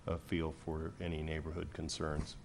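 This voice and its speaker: A man on city staff calmly explaining the next steps of a process at a council meeting, steady and measured. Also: very deep voice, creaky, slight background noise.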